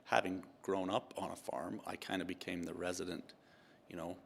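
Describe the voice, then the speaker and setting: A man in his fifties from Utah speaks in a very low, gravelly voice. He answers questions as a guest, unhurried, full of ums and restarts, with a dry, self-mocking wit. A faint noise sits under the recording. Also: level tone. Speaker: tax partner and guest. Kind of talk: relaxed interview answers.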